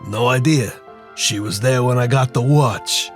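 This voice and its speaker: A man's voice: mid-range voice, measured pace, soft background music, close to an everyday voice. A man playing a smug, guarded gangster boss in a noir audio drama.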